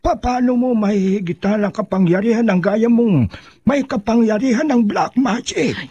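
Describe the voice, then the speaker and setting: A pious old grandfatherly teacher in a radio drama. His voice is extremely high for a man, earnest and insistent, recorded dry and close. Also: moderate pace, dark tone, slightly rough, no room sound, dull audio. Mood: earnest, instructive. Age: older. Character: devout, patient old male mentor, insistent.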